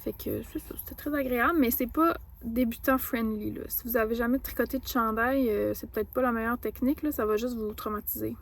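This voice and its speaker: A woman's voice: on the high side, fairly even intonation, brisk, vocal fry, few pauses. A young woman with a Québécois accent, chatting playfully through a casual travel vlog, with lots of fillers. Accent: Québécois French. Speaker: vlogger. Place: hotel room and shops on a trip.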